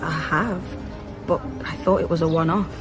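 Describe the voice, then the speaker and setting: An actress playing a guarded undercover policewoman, measured and questioning, in a naturalistic radio drama. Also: deep voice, fairly even intonation, over music, lightly acted. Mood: concerned, probing. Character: guarded undercover policewoman posing as a nanny, probing.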